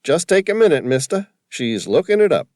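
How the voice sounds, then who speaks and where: A man's voice: on the high side, very animated, moderate pace, a little mellow, dry recording, clean studio sound, voice pushed up. A man voicing a chatty middle-aged male cab driver with a broad East Coast accent. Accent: broad East Coast American. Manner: chatty, folksy.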